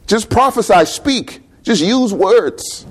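A man with a Bahamian accent preaches in a loose, talky way. He keeps asking his listeners questions and repeats words now and then. His voice sits a little high for a man. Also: expressive, slow. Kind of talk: sermon.

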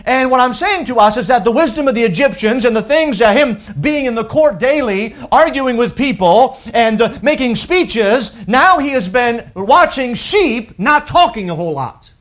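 A man around forty, raised in France with a French accent, preaching a sermon with lively delivery and stammered restarts. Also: very high voice, normal speed, hardly any pauses.

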